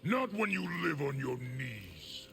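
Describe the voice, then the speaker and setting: An actor voicing a fierce, brutal warlord general in a fighting game, with a gravelly voice and contemptuous manner. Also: male voice, medium pitch, sing-song, slow, big-room sound, music in the background, exaggerated voice. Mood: menacing.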